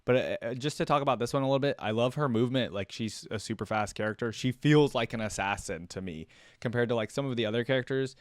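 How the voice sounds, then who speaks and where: A man's voice: medium pitch, fast talker, no echo, studio quality. A man hosting a reaction video, commenting casually and informally as he thinks aloud.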